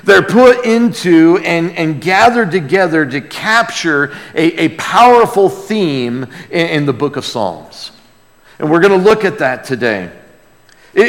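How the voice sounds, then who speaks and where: Emphatic male preacher in a reverberant hall. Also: high voice. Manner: emphatic, reverent, swinging from loud proclamation to hushed emphasis. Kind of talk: expository sermon with dramatic scripture reading. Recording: long reverberant hall sound.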